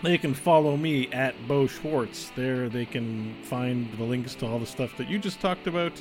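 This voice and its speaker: A man of medium pitch co-hosts a relaxed podcast and drops dry, joking asides. Faint music plays in the background. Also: moderate pace. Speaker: podcast co-host. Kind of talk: casual podcast banter.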